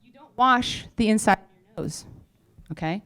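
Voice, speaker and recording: Woman founder doing a casual stage pitch, really clear smooth voice, frank funny and chatty. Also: expressive, moderate pace, a bit bright. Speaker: company founder giving a pitch update. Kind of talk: informal stage presentation. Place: on stage at a live event.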